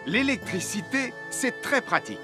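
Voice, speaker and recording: A man voicing a friendly, patient cartoon firefighter who teaches children safety rules in a clear, instructive way. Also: very high-pitched, big pitch swings, normal speed, music in the background. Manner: didactic, clearly enunciated instruction. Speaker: presenter of safety tips. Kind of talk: animated children's safety lesson.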